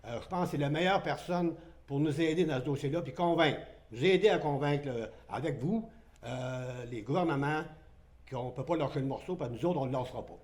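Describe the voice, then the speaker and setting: A man speaks as a town mayor at a press conference. He talks in a measured way, pausing often with hesitant fillers. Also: fairly high pitch, darkish tone.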